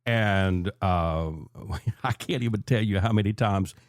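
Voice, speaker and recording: A man's voice: lowish voice, slow pace, dry recording. An older man hosting a talk radio show in a chatty, folksy, conversational way from a close studio microphone.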